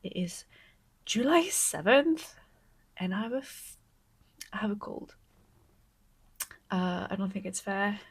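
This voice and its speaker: Congested, excitable woman with a cold. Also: medium pitch, husky. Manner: gushing and excitable, often dropping to a soft whisper. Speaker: knitting vlogger. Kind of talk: casual vlog chat to camera.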